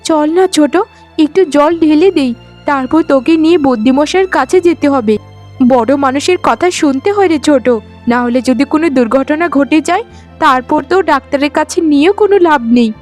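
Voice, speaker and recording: A woman's voice: high-pitched, a little fast, a little mellow, soft background music, close to an everyday voice. A woman voicing a caring, worried elder co-wife in a cartoon melodrama, speaking in a sisterly, earnest way. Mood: worried, coaxing.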